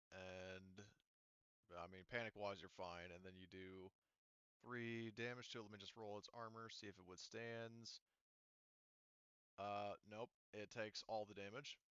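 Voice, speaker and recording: Male game master, bright clean voice, close dry sound, loose and sweary casual narration. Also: lowish voice, fairly even intonation, slow pace, long pauses, clear, resonant tone, dry recording, lo-fi sound. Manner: informal, profane.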